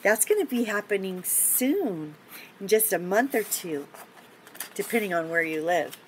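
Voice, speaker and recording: An older woman reading a picture book aloud to young children, gently and encouragingly, with chatty asides and questions to the listener. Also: medium pitch, very animated, measured pace, poor audio. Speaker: children's story reader and host. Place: indoor home office. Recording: clear background noise, room ambience.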